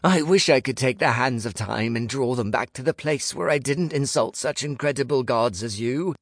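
Male narrator as unhinged audiobook protagonist, pitched well up, overwrought, pleading, grovelling, dry sound. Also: slow pace, dry recording. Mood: desperate pleading. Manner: overwrought, pleading, mock-archaic outbursts. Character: panicked, unhinged, grovelling narrator-hero losing their mind.

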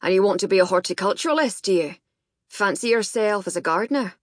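Woman acting a gruff, sharp Scottish male boss. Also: mid-range voice, lively intonation, fast talker, no echo, studio quality, lightly acted. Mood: sharp, grudging. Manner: barking, muttering, sharp.

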